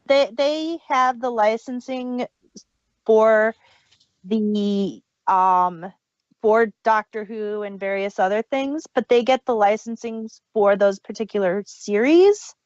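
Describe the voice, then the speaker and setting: A woman podcast host with a fairly high voice talks at a slow pace. She explains things in detail with a fan's enthusiasm, in casual group chat, on a dry recording. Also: a little mellow, dry recording, highs cut off. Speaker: podcast host reviewing audio dramas.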